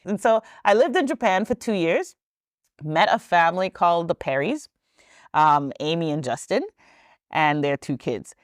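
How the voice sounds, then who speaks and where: A woman's voice: fairly low pitch, lively intonation, normal speed, no echo, studio quality. A woman, a podcast guest telling her story in a chatty, rambling way with many ums and self-corrections. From Trinidad and Tobago. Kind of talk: casual storytelling interview.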